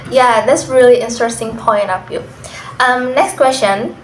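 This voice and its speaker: A young woman whose first language is Indonesian, answering and asking questions in English in a calm, measured way, with frequent "mm" and "uh" fillers. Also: on the high side. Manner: measured, careful, with many "mm" and "uh" fillers. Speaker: student interviewer and interviewee. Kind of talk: casual pair interview in English. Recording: echoey room, faint background noise.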